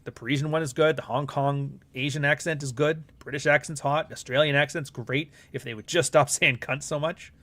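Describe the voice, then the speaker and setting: A man's voice: fairly high pitch, fairly even intonation, a little fast, few pauses, fairly bright tone. A middle-aged Canadian man with a Canadian accent hosting a casual live stream, talking bluntly with frequent swearing and rambling asides. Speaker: livestream host.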